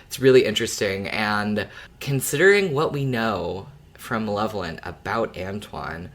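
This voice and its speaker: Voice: mid-range voice, animated, moderate pace, a bit bright. A podcast co-host chatting casually and analytically about a story. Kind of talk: casual fan discussion of an audio drama.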